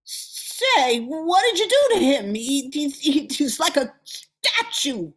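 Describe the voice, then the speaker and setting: A woman's voice: high voice, sing-song, slow, exaggerated voice. A woman playing a menacing, commanding female crime boss in an old-time radio thriller, tough and theatrical. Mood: suspicious, alarmed.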